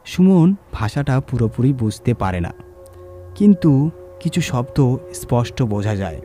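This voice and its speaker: Mellow male horror storyteller over music. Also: medium pitch, big pitch swings. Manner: measured, suspenseful storytelling. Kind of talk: scripted dramatic narration.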